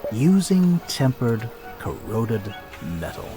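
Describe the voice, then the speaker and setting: A man's voice: mid-range voice, big pitch swings, takes it slowly. An actor playing a flamboyant, boastful male adventurer in a video game cutscene, theatrical and teasing, with music behind him. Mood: persuasive, showy. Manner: theatrical, teasing, self-satisfied.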